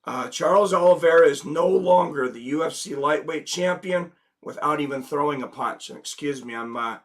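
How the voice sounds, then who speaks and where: A man's voice: a little high, normal speed. A man with a slight head cold, hosting a casual live stream, greeting viewers in the chat and reading a news article aloud. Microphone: desk microphone.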